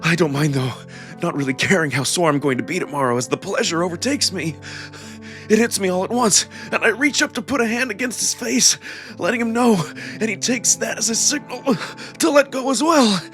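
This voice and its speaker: Expressive male narrator over music. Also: high voice, fairly quick. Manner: expressive, acted delivery with emotional emphasis. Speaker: narrator and voice performer of a visual novel. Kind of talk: first-person dramatic scripted narration. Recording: music behind the voice.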